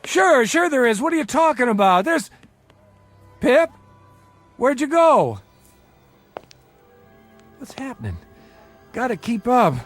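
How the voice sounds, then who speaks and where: A man's voice: extremely high pitch, very animated, takes it slowly, long pauses, fairly bright tone, soft background music, exaggerated voice. An actor playing a desperate, remorseful middle-aged father and small-time hustler, urgent and emotional, in radio-drama style. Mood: anxious. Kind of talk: dramatic radio-play acting.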